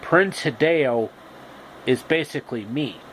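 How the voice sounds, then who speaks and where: A man telling an improvised comic retelling of a fairy tale, haltingly and repeating phrases. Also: mid-range voice. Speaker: storyteller. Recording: steady background noise.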